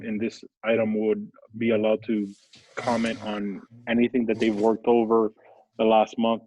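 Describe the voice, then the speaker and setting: A man's voice: lowish voice, warm tone. A man serving as city manager, formally explaining meeting procedure. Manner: measured, formal, deferential. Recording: dull lo-fi audio.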